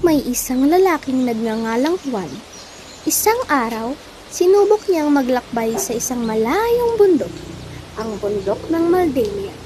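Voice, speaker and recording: Young woman narrator with a high voice. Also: big pitch swings, measured pace, dark tone, a little hiss or hum. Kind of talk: scripted children's story narration.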